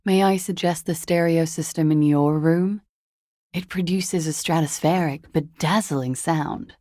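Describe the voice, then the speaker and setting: A woman's voice: low voice, a little slow, dark tone, dry recording, clean studio sound. An actress voicing a world-weary, guarded, seductive woman in a video game, with languid, hesitant, wry delivery. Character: world-weary, guarded, seductive disco-dancer woman recounting a dead lover. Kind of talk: video game dialogue acting.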